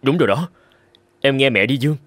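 A man's voice: medium pitch, animated, fairly quick, fairly bright tone, dry recording, studio quality. A man voicing a supportive, agreeable young husband and father in a cartoon, speaking respectfully. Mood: persuading. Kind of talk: cartoon dubbing.

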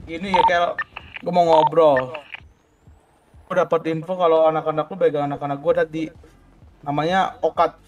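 A man's voice: fairly high pitch, steady tone, normal speed, pauses often, a little mellow, lo-fi sound, a little hiss or hum. A man with a colloquial Jakarta Indonesian accent confronting rivals in an online roleplay game. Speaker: gamer role-playing a gang member.

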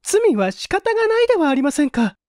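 An anguished young daughter, as acted by a male reader in a mystery audiobook. The voice is raised high and swings with emotion, polite yet pleading, in a dry recording. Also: big pitch swings, fairly quick, a little mellow, dry recording. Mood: pleading, resolute. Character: anguished earnest young woman, an old man's daughter.

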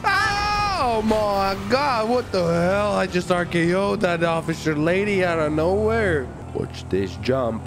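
Man, excited gaming commentary, boisterous, very animated pitch, swearing, clear background music. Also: takes it slowly, few pauses. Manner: boisterous, swearing, frequent shouts and whoops. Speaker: gaming video host.

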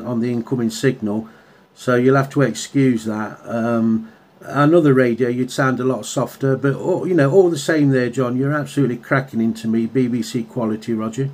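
A man chatting casually over CB radio as a hobbyist, full of radio jargon. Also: medium pitch. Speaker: radio hobbyist and video maker. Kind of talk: casual CB radio contact chat. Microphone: handheld radio microphone.

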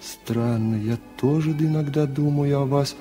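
A man's voice: mid-range voice, unhurried, mellow, soft background music, natural voice. Man voicing a teasing young woman, slightly lifted. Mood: teasing, curious. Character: young woman student, teasing, curious and doubtful.

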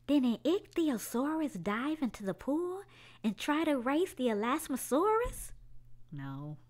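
Slow, lively storytelling woman. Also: sits high. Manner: slow, expressive read-aloud with drawn-out questions. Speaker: children's storyteller. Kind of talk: reading a picture book aloud.

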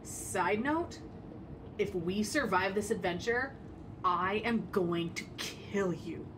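A woman playing a skeptical, sardonic sidekick in a fantasy audio drama, with a deadpan, teasing manner. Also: medium pitch, takes it slowly, background noise, close to an everyday voice. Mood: dry impatient.

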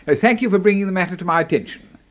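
An English actor playing a pompous, courtly old military boss who flatters smoothly, in a radio drama. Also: male voice, high-pitched, very fast. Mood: soothing, flattering. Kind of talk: 1970s radio drama acting.

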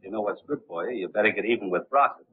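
A man's voice: lowish voice, rapid-fire, slightly rough. An actor playing a brusque, matter-of-fact club henchman in a 1930s film, clipped, on a tinny soundtrack.

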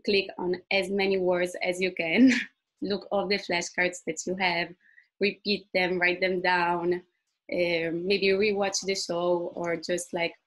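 A woman's voice: fairly low pitch, level tone, takes it slowly, fairly bright tone. A woman whose first language is Italian, the community manager answering questions on a video call, laughing often between answers. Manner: laughs often, fluent non-native phrasing. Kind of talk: webinar Q&A answers.

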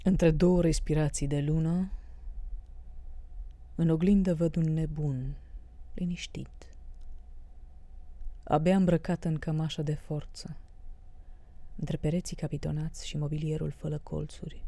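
A woman's voice: low voice, fairly even intonation, long pauses, mellow, no room sound. A woman giving a recitation of poetry as a reader.

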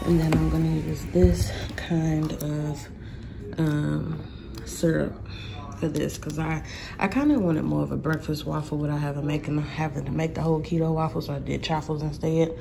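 Woman, 30s, low voice, gravelly, relaxed chatty cooking talk, home kitchen, phone recording. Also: moderate pace, over music. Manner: relaxed, chatty, addresses viewers as y'all. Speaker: cooking vlogger. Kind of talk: casual cooking vlog. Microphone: phone camera on the counter.

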